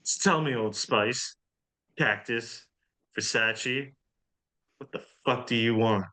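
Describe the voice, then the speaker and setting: A man's voice: mid-range voice, sharp tone. A man playing a vain, self-pitying drag diva with theatrical delivery in a comedy table read. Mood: wounded, dramatic. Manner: theatrical, drawn-out.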